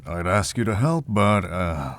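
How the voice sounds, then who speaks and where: A man playing a gentle, wry middle-aged monk who is kindly with children in an animated fantasy story. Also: deep voice, unhurried, full character voice. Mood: wry, teasing. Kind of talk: animated fantasy visual novel voice acting.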